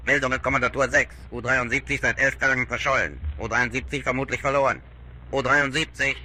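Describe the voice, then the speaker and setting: An urgent German military signaller in a radio drama. His delivery is rapid, clipped and formal, with a very piercing, forceful tone. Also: medium pitch, steady tone. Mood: urgent formality. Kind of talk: old-time radio drama acting.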